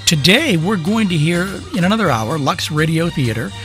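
A man's voice: fairly high pitch, lively intonation, normal speed, a bit bright, faint music behind. An American man hosting an old-time radio program, introducing shows in a chatty, conversational way. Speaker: radio show host.

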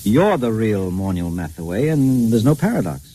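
An actor playing a pragmatic, reassuring friend in a 1950s radio drama, with a casual, wry, coaxing manner. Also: male voice, fairly low pitch, big pitch swings, moderate pace, warm tone, poor audio. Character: pragmatic, reassuring male friend of a troubled painter.

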